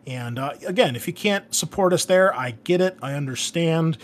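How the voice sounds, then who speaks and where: A man's voice: a little high, animated, moderate pace. A man hosting a gaming podcast, making casual, enthusiastic announcements in an informal way. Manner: informal, enthusiastic, mild swearing. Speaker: podcast host and game master.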